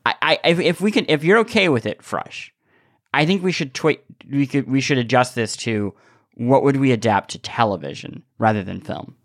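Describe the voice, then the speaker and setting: A man's voice: mid-range voice, lively intonation, moderate pace, dry recording, studio quality. A man co-hosting a podcast, talking casually and analytically, often repeating words as he forms his argument. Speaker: podcast host. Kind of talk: casual opinionated discussion.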